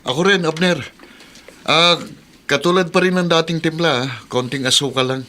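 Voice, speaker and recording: An actor playing a smug, conniving office co-worker in a radio drama, sly and mocking. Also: male voice, fairly high pitch, fairly even intonation, normal speed, pushed and bright, a little hiss or hum. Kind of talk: Tagalog radio drama acting.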